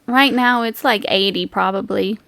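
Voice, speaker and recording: A woman's voice: mid-range voice, moderate pace, creaky, a bit bright, dry recording. A woman game master narrating a tabletop roleplaying game in a casual, giggly, playful and conversational way.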